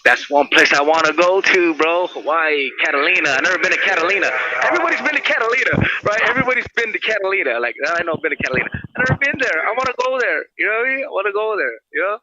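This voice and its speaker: A man's voice: sits high, expressive, rapid-fire, few pauses, pushed and bright. A man chatting casually and effusively as a call-in guest, slangy, repeating himself and often saying bro and man. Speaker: call-in guest phoning from prison. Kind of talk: casual phone chat. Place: prison, on a phone or video visit call. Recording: dull lo-fi phone call with hiss.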